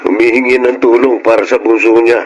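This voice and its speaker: Actor as a soft-hearted, placating father. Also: medium pitch, level tone, fairly quick, muffled recording, natural voice. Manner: placating, earnest. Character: soft-hearted, accommodating middle-aged father and husband. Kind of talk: Filipino radio drama acting.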